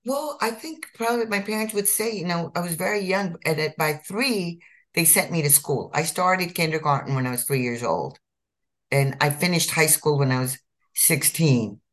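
A woman's voice: deep voice, moderate pace. A woman from India, a physician in her fifties or sixties, telling her life story in an interview with earnest emphasis, repeating key words. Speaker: physician guest.

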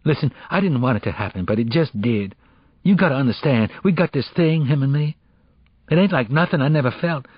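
A man as a guilty, husky-voiced teenage girl. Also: medium pitch, lively intonation, a little fast, no echo, dull audio, pitched above the performer's own voice. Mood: guilty, pleading. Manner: slangy, reluctant, confessional. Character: big teenage girl, evasive, guilty, lovestruck and defensive.

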